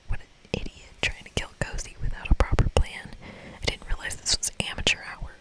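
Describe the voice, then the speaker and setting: A woman's voice: normal speed, natural voice. A woman voicing an irritable, scheming young female monster from a video game, snappy and melodramatic, all in a whisper. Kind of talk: whispered video game dialogue read aloud.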